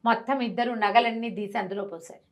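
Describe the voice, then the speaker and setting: Animated woman telling a devotional tale. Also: fairly high pitch, fast talker. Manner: animated, acts out the characters' voices. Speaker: storyteller. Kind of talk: devotional storytelling.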